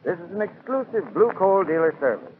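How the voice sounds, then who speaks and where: Lively 1940s radio spokesman, male. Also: high voice, fairly quick. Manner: instructive. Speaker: advertising spokesman. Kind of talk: 1940s scripted radio commercial. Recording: tinny, hissy 1940s radio recording.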